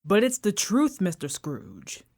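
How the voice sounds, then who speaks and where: A man's voice: high voice, wildly swooping pitch, measured pace, darkish tone, full character voice. A man playing a polite male charity collector in a student radio drama, courteous and earnest.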